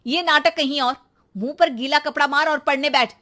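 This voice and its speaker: A woman voicing a harried, sarcastic mother who nags and scolds loudly in a cartoon story. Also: moderate pace. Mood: scolding. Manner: shrill, nagging, sarcastic.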